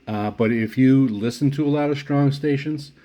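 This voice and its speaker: A man giving a casual product review as a hobbyist, speaking haltingly with frequent pauses and restarts. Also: medium pitch, mellow. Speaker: radio hobbyist reviewing gear.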